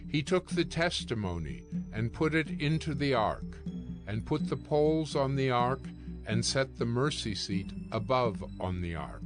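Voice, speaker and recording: A man narrating scripture from a script in a measured, solemn reading manner. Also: medium pitch. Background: music.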